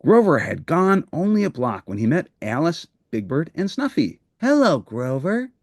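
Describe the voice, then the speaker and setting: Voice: medium pitch, sing-song, normal speed, no echo, studio quality. A storybook narrator reading a children's book aloud in a scripted narration style.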